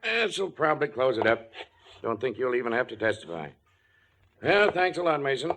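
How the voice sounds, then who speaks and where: An actor playing a businesslike but easygoing police inspector, clipped and matter-of-fact, in 1940s radio style. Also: male voice, mid-range voice, big pitch swings, normal speed, fairly bright tone, a little hiss or hum. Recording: vintage 1940s radio broadcast.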